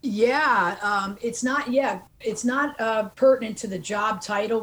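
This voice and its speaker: A woman's voice: medium pitch, a little hiss or hum, low-quality recording. A woman life coach answering interview questions in an earnest, encouraging way, laughing easily.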